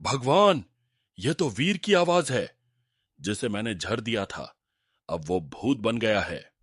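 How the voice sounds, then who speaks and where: Guy playing a cowardly greedy cartoon seth, lowish voice, dry close recording. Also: expressive, slow pace, a bit bright, breathy edge, dry recording, heavily acted. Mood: terrified. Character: greedy, cowardly village moneylender, frightened man. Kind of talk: Hindi cartoon character dialogue.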